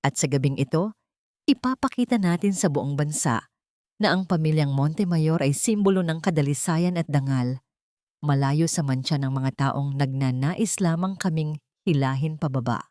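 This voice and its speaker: A haughty rich villainess from an audio drama, acted by a woman narrator, sanctimonious and speechifying. Her voice is fairly low and the recording dry. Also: normal speed, dark tone, no echo, natural voice. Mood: proud, disdainful.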